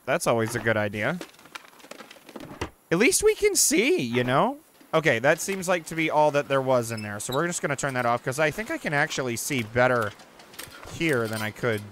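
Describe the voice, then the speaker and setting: A man's voice: mid-range voice, slight background noise. Chatty young man swearing through a game. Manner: chatty, reacts loudly, swears. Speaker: gaming channel host. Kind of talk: casual let's-play commentary. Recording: faint game ambience behind.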